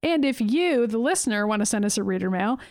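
Thirties female podcast co-host, higher voice, dry close sound, laughs easily. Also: expressive, fast, a little mellow, dry recording. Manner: laughs often, dry wry asides. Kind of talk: casual chat.

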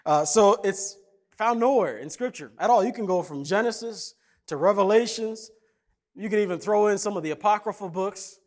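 Pastor, male, from Kenya; relaxed storytelling sermon, playful asides, slightly high voice. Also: moderate pace. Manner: conversational, jokey, animated. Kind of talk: casual sermon with stories.

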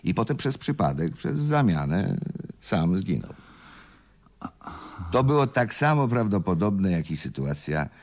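A man playing a shrewd, composed police inspector in a radio play, reasoning formally and deliberately in a gravelly voice. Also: lowish voice, big pitch swings, full character voice. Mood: explaining, insistent. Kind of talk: Polish radio play acting.

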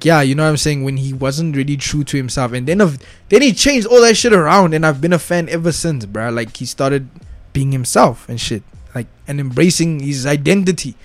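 A young man, giving casual, excited reaction commentary full of slang and swearing. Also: fairly high pitch, dry recording. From South Africa. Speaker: reaction video host.

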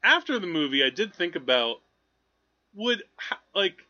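A man's voice: high-pitched, big pitch swings, measured pace, sharp tone, muffled recording. A man talking through films casually as a podcast host, in a rambling, conversational way. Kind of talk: casual film discussion.

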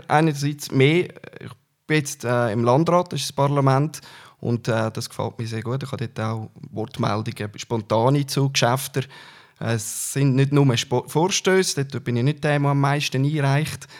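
A middle-aged man with a Swiss German accent, faintly coloured by the Uri dialect, speaking at a medium pitch for a man. He answers a journalist's questions calmly but haltingly. He often pauses on filler sounds before going on. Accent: Swiss German, mildly Uri dialect. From Canton Uri, Switzerland. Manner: hesitant, frequent filler sounds. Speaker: political candidate. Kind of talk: interview answers.

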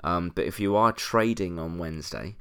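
A man's voice: sits low, normal speed, sharp tone, clear, resonant tone. A man with a stuffy, congested voice hosting a solo podcast about currency markets, explaining steadily with frequent "um" fillers. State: congested, stuffy nose from a cold. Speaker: podcast host, currency analyst.

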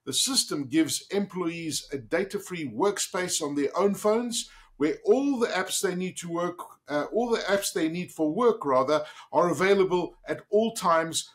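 A man's voice: a little high, fairly bright tone. A man hosting a business interview, introducing his guest and asking questions in an enthusiastic, upbeat manner. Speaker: interview host.

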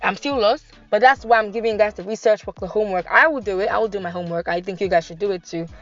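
A young woman with a Nigerian accent hosting a podcast in casual, animated chat. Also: medium pitch, racing, lo-fi sound, soft background music. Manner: chatty, animated, laughs and switches into pidgin. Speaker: podcast host.

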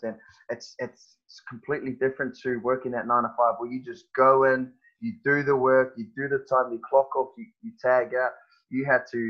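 A man, a guest on a podcast, talking in a halting, casual way with many fillers and restarts. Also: medium pitch, highs cut off. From New Zealand. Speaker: guest, personal trainer.

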